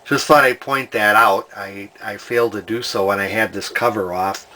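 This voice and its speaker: A man's voice: fairly low pitch, steady tone, normal speed, pushed and bright, rough recording. A man giving casual hands-on repair commentary as a hobbyist, hesitantly thinking aloud as he goes. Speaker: hobbyist radio restorer presenting a repair video.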